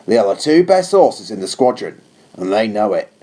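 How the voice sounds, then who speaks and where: A man's voice: on the low side, sing-song, normal speed, mellow, voice pushed down. A man voicing a firm, unsympathetic male cavalry captain in a storybook reading, with a commanding manner. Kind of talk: audiobook character voice.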